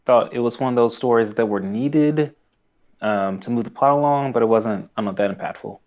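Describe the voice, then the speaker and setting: Halting man on a slightly muffled mic. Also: medium pitch, a little mellow. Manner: hesitant, halting, easily amused. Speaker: podcast co-host. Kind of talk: casual recap chat.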